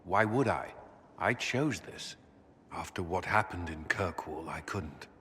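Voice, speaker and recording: An actor playing an earnest, dutiful military commander in a video game, speaking in a measured, formal, guarded way. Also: male voice, deep voice, expressive, fairly bright tone, big-room sound, slight background noise. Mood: solemn, resolute. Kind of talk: video game cutscene acting.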